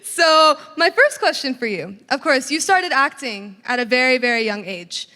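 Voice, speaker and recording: A woman's voice: high voice, lively intonation, normal speed, bright, forceful tone. Upbeat young woman interviewing in a hall. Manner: upbeat, laughs between questions. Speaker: student interviewer. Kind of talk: scripted interview questions. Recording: long hall reverb.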